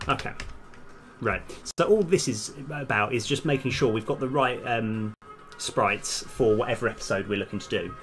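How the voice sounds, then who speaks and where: A man live-streaming as a game developer, casually thinking aloud in halting mutters as he works. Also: medium pitch, fairly bright tone. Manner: halting, muttering to self while working. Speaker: game developer livestream host. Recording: music behind the voice. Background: music, computer keyboard typing.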